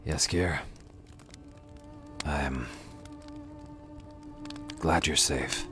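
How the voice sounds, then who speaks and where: A man playing a gruff, terse, wounded witcher in an audio drama, with a low rumbling, halting delivery. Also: steady tone, music in the background, full character voice. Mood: quietly sincere.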